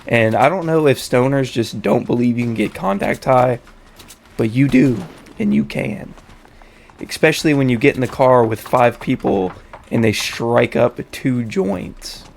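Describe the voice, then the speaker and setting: Young dude w a slight cold, crackly hoarse voice, slangy storytime chat, bit of background noise. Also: male voice, medium pitch, animated, unhurried. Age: young adult. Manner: slangy, frequent swearing. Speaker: storytelling host.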